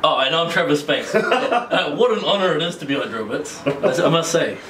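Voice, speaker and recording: A man's voice: fairly high pitch, lively intonation, normal speed, over a noisy crowd. A young man with a New Zealand accent, chatting casually as an interview guest, laughing easily and rambling with many restarts. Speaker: guest wrestler. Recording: echoey room, distant mic, faint noise.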